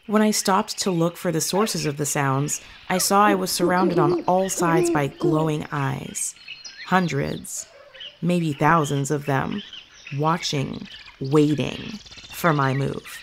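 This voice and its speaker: A woman playing an earnest young witch who tells her story in a measured way, as in an audio drama. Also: fairly low pitch, a little hiss or hum. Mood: hushed, reflective. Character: earnest young witch fondly recounting meeting her familiar. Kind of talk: audio fiction monologue, storytelling.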